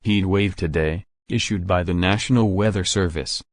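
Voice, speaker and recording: A man's voice: deep voice, normal speed, no echo, clean studio sound. A man working as a radio announcer, delivering a forecast and station patter.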